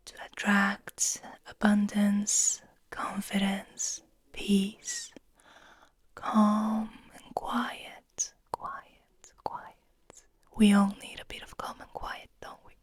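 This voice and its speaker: A woman whispering breathily in a slow, soothing guided ASMR style, lingering on her words. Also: mid-range voice, slightly rough, dry recording. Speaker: ASMR host.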